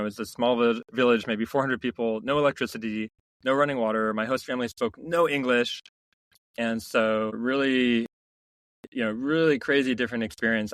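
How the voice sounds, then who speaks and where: A man's voice: mid-range voice, fairly bright tone, dry recording, rough recording. Reflective man telling stories, dry sound. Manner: reflective, many restarts and "you know" fillers. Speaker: founder and CEO, podcast guest. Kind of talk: casual interview storytelling.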